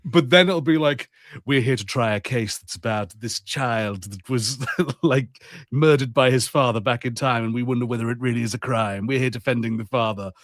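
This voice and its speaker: Guy co-hosting a podcast, casual banter, acts bits out, mid pitch, really dry room. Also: normal speed, no room sound. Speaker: podcast co-host. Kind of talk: casual banter on a film watch-party podcast.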